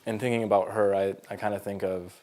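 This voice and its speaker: A man in his twenties from Colorado, talking thoughtfully in a casual interview, pausing with fillers as he finds his words. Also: on the low side, level tone, a little mellow. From Colorado, USA. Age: 20s. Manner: thoughtful, hesitant, many fillers. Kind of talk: casual interview, personal story.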